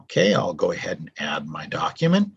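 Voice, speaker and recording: An older man explains software from his home office in a relaxed, chatty way, full of ums and uhs. His voice is low and husky. His pitch moves up and down freely as he talks. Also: brisk, lo-fi sound. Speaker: translator demonstrating software. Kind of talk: casual screen-recorded tutorial.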